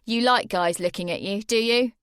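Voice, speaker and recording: A woman's voice: medium pitch, normal speed, fairly bright tone, smooth, no room sound, studio quality, natural voice. A woman reading aloud and voicing a cruel, bullying teenage boy with a cold, sneering delivery.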